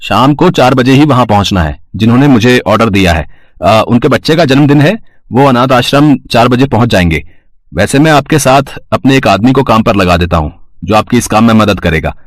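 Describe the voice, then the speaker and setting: A man performs a helpful shop owner in a cartoon story. He is polite and explains things courteously. The voice is mid-pitched with no room echo. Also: expressive, a little fast, natural voice. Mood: polite, earnest.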